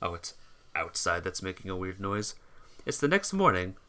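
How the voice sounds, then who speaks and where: A man's voice: low-pitched, sing-song, moderate pace, pushed and bright. A man reading a visual novel aloud as amateur narration, sometimes stumbling and rereading phrases.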